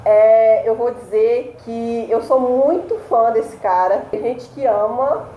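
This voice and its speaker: A woman's voice: on the high side, slow, narrow, tinny audio, a little hiss or hum. A young woman co-hosting a casual video chat, enthusiastically recommending things. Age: young adult. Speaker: co-host. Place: indoor room against a plain wall. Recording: roomy echo.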